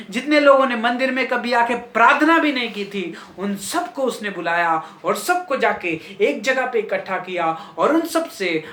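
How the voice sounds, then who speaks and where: A man's voice: sits high, sharp tone, roomy sound. A man preaching a sermon with fervent, repetitive emphasis that rises and falls.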